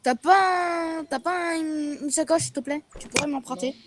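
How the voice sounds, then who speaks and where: Highish female voice, casual role-play. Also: moderate pace, crowd noise. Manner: casual, repeats phrases. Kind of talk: improvised amateur role-play dialogue.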